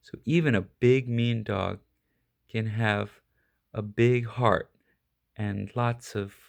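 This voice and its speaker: A man reading a children's picture book aloud as an expressive storyteller. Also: fairly low pitch, slow pace, vocal fry. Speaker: storybook reader.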